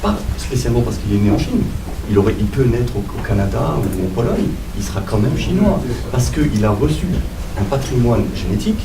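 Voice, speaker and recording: Man from Algeria, argumentative, rapid speech, roomy echo. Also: fairly low pitch, animated. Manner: insistent, argumentative. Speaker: debater. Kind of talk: heated debate. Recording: echoey room, far from mic, loud noise.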